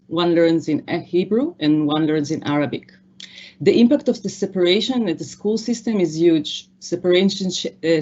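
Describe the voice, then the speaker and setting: A woman with an Israeli accent, giving a measured, prepared statement as a panelist. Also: on the low side, dark tone, highs cut off.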